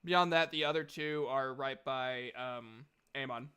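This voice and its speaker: A man's voice: medium pitch, unhurried, sharp tone, smooth. A man casually narrating a tabletop roleplaying game as the game master. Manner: casual, conversational.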